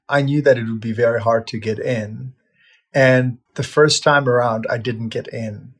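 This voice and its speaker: A man in his fifties who grew up in Czechoslovakia, talking as a vet about how he came to his work. He tells it casually and reflectively, stopping often on "uh" and starting phrases over. His voice sits at an ordinary middle pitch for a man. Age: 50s. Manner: reflective, with frequent "uh" fillers and restarts. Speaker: veterinarian sharing his life story. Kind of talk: casual personal storytelling.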